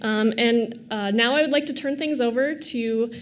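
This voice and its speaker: A woman's voice: a little high, moderate pace, slight background noise. A woman faculty host making announcements before a lecture in a lecture hall, with frequent fillers. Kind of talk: event announcements.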